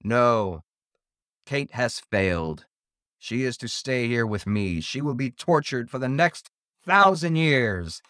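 A man voicing an imperious, ancient evil pumpkin demon in a horror story, booming and pompous. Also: expressive, fairly bright tone, no room sound, studio quality. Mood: cold, cruel. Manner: slow, pompous pronouncements.

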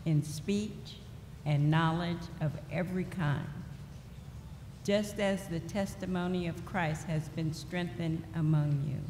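Slow woman reading in an echoey church. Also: fairly low pitch, steady tone, darkish tone. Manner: slow, deliberate reading with occasional slips. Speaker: lay reader. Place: church sanctuary at a lectern. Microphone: lectern microphone. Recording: echoey church hall with faint noise.

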